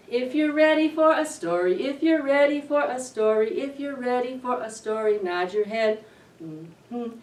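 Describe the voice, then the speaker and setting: A middle-aged woman hosting a children's storytime, reading aloud in an expressive, deliberate way to young listeners. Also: on the high side. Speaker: library storytime host. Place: indoor library children's room. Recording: roomy echo, distant camera microphone.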